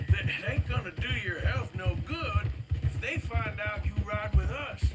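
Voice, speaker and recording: Wry, gruff Southern cowboy outlaw. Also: male voice, high voice, unhurried. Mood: wary. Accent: American Southern. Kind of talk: video game acting. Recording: loud music behind dialogue.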